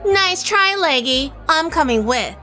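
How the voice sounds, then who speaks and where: A woman acts a feisty dame from a hardboiled period radio drama. Her voice is high and bright, with a sassy, sardonic bite, over faint music. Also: lively intonation, a little slow, some chatter behind, exaggerated voice. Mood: teasing, sassy. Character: feisty, flirtatious 1930s gangster's wife, sharp-tongued and bold. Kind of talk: hardboiled period radio drama dialogue and first-person narration.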